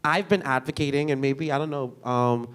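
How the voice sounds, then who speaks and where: A man asking a panel a question, halting and full of restarts. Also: medium pitch, fairly bright tone, clear, resonant tone. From Bahamas. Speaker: audience member asking questions. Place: university lecture hall.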